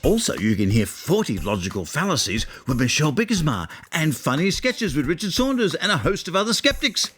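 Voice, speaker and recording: A man's voice: a little high, big pitch swings, a little fast, hardly any pauses, fairly bright tone. A man reads a scripted podcast promo as an announcer, in a showy, playful way. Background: music.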